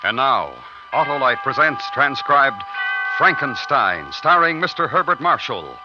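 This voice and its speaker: A man's voice: medium pitch, very animated, unhurried. Male vintage radio announcer, theatrical scripted introductions, music behind, muffled band. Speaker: show announcer. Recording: dull vintage radio recording.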